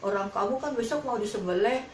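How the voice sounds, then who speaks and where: A woman's voice: on the high side, fast talker, a little mellow, far from the mic, voice pushed up, lightly acted. A woman voicing a gullible, eager guard dog in a children's tale, naive and insistent. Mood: curious, doubting. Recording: roomy echo with faint background noise.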